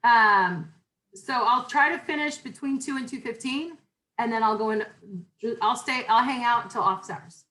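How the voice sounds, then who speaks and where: A loud-voiced woman leads an online class over a video call. She talks in a chatty, informal way, rambling a little and restarting her sentences as she goes. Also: a little high, moderate pace, poor audio. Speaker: university instructor. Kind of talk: online class welcome and syllabus talk.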